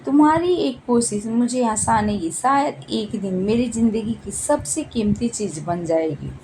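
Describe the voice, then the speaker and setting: A woman's voice: a little high, unhurried, darkish tone, slight background noise, low-quality recording. A woman voicing an affectionate young college woman who hides sorrow, in a storybook narration. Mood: wistful. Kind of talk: acted storybook dialogue.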